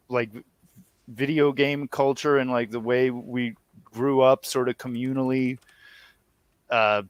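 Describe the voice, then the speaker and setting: A man's voice: mid-range voice, clean tone, no echo. A man in his thirties talking casually as an interview guest in a rambling, wry, self-deprecating way. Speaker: guest, audio series co-creator and musician.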